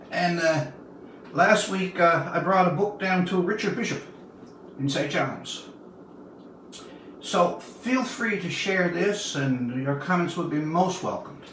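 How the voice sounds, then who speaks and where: A man's voice: high voice, fairly bright tone. A man of about seventy from Newfoundland, a writer talking and telling stories to viewers on an informal livestream. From Newfoundland, Canada. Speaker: writer reading his work to viewers. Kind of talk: informal livestream talk and storytelling. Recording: distant mic, roomy echo, audible noise.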